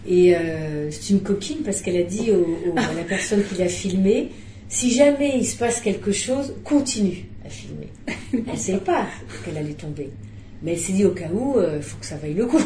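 A middle-aged woman director speaks about her film in a soft, mellow voice. She talks in an animated way and restarts her sentences often. An echoey room and faint background noise surround her. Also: mid-range voice, normal speed. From Belgium. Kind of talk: press conference interview.

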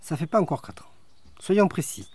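Middle-aged man, magistrate guest, calm and exact, dark mellow tone. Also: a little high, slightly rough. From France. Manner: measured, precise, wry. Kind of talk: radio interview answers.

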